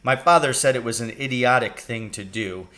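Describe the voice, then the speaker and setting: A man reading a children's story aloud as a teacher would, with expressive narration. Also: medium pitch, normal speed.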